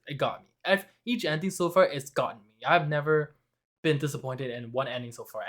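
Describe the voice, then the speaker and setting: Hoarse, excitable man reacting to anime. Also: a little high. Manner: excitable, exclaiming and talking to viewers. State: hoarse, losing his voice from screaming. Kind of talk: reaction video commentary.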